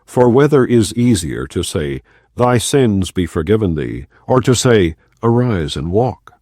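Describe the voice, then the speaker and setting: A man reading the lines of a grave male teacher who speaks with authority, in a measured, solemn way. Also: on the low side, big pitch swings, darkish tone, no room sound, clean studio sound.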